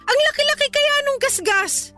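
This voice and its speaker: Very high-pitched, exasperated scolding wife. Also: female voice, normal speed, sharp tone. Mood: accusing, sarcastic. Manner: nagging, sarcastic. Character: exasperated, scolding young working mother and wife. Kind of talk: Tagalog radio drama acting. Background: background music.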